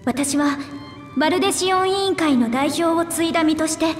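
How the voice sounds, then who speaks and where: Woman as a high-voiced, earnest little scholar. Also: normal speed, close to an everyday voice. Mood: earnest, solemn. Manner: formal, polite, earnest. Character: small, polite, determined young female scholar envoy. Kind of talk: video game voice acting. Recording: echoey hall reverb, music behind.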